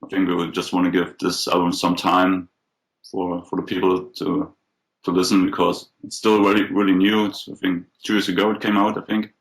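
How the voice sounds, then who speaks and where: A male band member giving plain, unhurried answers in an interview. His voice is very deep and stays level, with almost no rise or fall in pitch from one phrase to the next. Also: little pitch movement, low-quality recording. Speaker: member of a cover band, guest.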